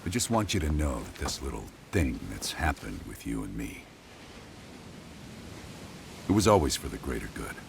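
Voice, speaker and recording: A man playing a ruthless middle-aged American intelligence officer in a game, speaking deliberately, with intimacy and command. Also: a bass voice, big pitch swings, vocal fry, background noise, full character voice. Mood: grave, persuasive. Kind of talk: dramatic game cutscene acting. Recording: music behind the voice.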